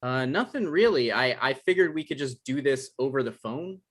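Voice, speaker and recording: A man's voice: mid-range voice, lively intonation, normal speed, close to an everyday voice. A man acting an anxious, easily flustered novelist in a conversational comedy script reading. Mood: awkward, defensive. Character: anxious, defensive male novelist in his thirties.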